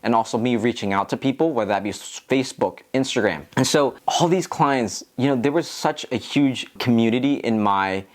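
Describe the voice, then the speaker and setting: A man's voice: mid-range voice, moderate pace, hardly any pauses. A man with an American accent talking casually to viewers as a tutorial host, in an earnest, encouraging, explanatory way. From Philadelphia, United States. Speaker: real estate agent and video host.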